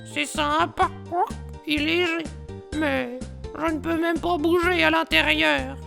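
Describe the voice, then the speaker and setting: A man's voice: steady tone, slow pace, gravelly, pushed and bright, soft background music, full character voice. A man voicing a small, restless female frog who whines and grumbles in a storybook cartoon. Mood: whining. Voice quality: squeaky, whiny. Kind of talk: storybook character voice.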